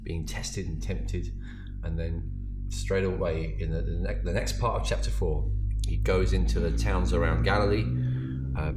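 A man, a pastor, casually summarizing his sermon, often halting and repeating words as he thinks. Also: low-pitched, background noise. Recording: roomy echo.

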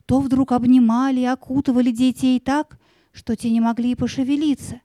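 A woman's voice: high voice, little pitch movement, fairly quick, mellow, dry recording. A woman narrating a fairy tale in a scripted storytelling style.

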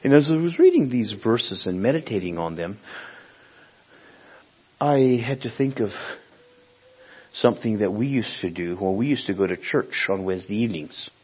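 Husky-voiced male preacher from Honduras. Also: on the low side, expressive. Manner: conversational, halting, searching for words. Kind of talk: sermon with personal storytelling. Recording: dull, muffled lo-fi recording.

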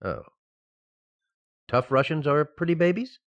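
A man's voice: on the high side, animated, unhurried, muffled recording. A man playing a mild-mannered, distracted husband in an old-time radio sitcom, hesitant and absent-minded.

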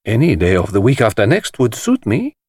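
A man voicing a grave, courteous, shrewd middle-aged detective, speaking slowly and precisely in an audiobook. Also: fairly low pitch, huge pitch swings, darkish tone, breathy edge, no room sound, studio quality, voice pushed up, full character voice. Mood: dry, polite. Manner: slow, precise, measured and formal. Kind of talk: dramatised audiobook dialogue.

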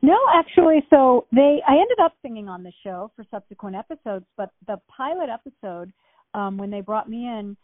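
A woman's voice: fairly high pitch, lively intonation, normal speed, no room sound. Woman guest, chatty and rambling, dry close sound, thin phone-like audio. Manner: chatty, rambling, many fillers and restarts. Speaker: actress guest. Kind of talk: casual interview talk. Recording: tinny phone-like line.

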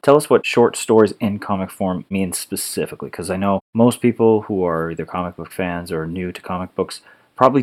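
Man, podcast host, very low pitch, friendly relaxed interviewer.

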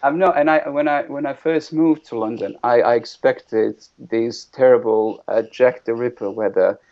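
A man's voice: medium pitch, normal speed. A man from Bosnia, a guest concept artist, talking in a casual interview with many restarts and repeated words. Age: middle-aged. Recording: lo-fi, muffled audio.

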